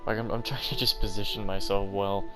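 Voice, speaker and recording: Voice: low-pitched, flat delivery, a little fast, over music. A game streamer giving casual, chatty, informal commentary on a playthrough and reading dialogue aloud.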